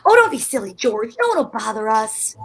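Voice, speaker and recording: A woman's voice: a little high, sing-song, a little fast. A woman playing a bossy, scheming madcap wife in old-time radio comedy style, exclamatory and wheedling. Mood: bluffing.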